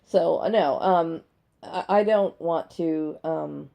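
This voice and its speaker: A woman in her forties with a dry, gravelly voice, talking blunt and unscripted in a personal video, punctuating her points with "okay?". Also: deep voice, takes it slowly, dull audio. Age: 40s. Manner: blunt, emphatic, often checking with "okay?". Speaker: video blogger. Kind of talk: unscripted personal monologue to camera. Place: bedroom at home.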